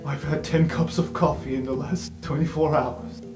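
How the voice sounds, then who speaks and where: Guy doing a wiped out jittery assistant type, very polite, halting, tabletop game, echoey. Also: on the high side, fairly even intonation, natural voice. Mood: frazzled, pleading. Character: exhausted, jittery, deferential young underling calling his superior sir. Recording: echoey room, music underneath.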